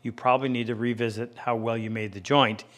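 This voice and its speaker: Male workshop instructor, 50s, medium pitch, plain-spoken and practical, explaining techniques as he demonstrates. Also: steady tone, brisk, a bit bright. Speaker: woodworking instructor and host. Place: indoor woodworking workshop.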